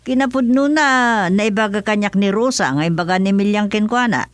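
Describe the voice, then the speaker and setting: A woman's voice: medium pitch, normal speed, no echo, lightly acted. A woman playing a sensible, confiding villager in a comedic radio drama. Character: sensible villager, passing on rumors yet cautioning fairness.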